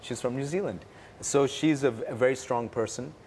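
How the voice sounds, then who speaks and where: A man from Pakistan answers interview questions at a middling pitch. He speaks haltingly, pausing and repeating himself, with faint ambient noise behind him. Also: darkish tone. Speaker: interview guest, cartoon producer. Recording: faint background room noise.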